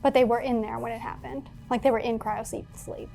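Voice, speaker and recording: Higher-voiced woman playing a tabletop game. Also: fairly even intonation, brisk, vocal fry, mellow, soft background music. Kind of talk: casual in-character table talk.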